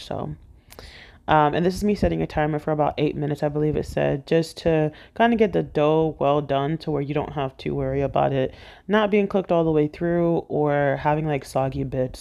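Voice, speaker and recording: A woman's voice: deep voice, creaky, dark tone. A woman giving a casual, conversational voice-over as a home cooking vlogger, explaining things step by step.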